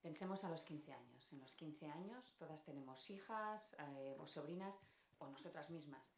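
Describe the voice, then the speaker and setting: A woman's voice: deep voice, moderate pace. A woman, speaking off the cuff as a panelist, repeating words for emphasis. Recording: roomy echo, faint background noise.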